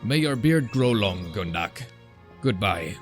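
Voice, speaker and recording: Man acting a deep-voiced, gruff dwarf master. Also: expressive, takes it slowly, soft background music. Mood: measured, gruff. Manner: plainspoken, grumbling, hesitant at times.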